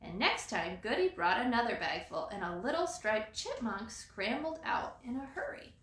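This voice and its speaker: A woman reads a children's story with dramatic expression. Her voice is fairly high and bright, swinging widely in pitch. The recording has a roomy echo. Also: big pitch swings, moderate pace.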